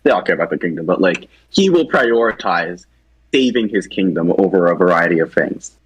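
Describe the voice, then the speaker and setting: Man, very low voice with lively movement, informal co-presenter in a casual character chat. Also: brisk, poor audio.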